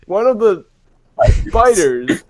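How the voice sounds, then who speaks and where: A man narrating a playful dramatic script reading among friends, theatrical and quick to break off into asides. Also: sits high, sing-song. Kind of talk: playful group script read-through.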